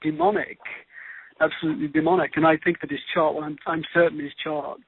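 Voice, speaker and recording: A man with a husky, creaky voice co-hosting a conversational discussion, halting and repeating phrases for emphasis. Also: fairly high pitch, no room sound. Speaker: show co-host. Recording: narrow phone-like radio sound.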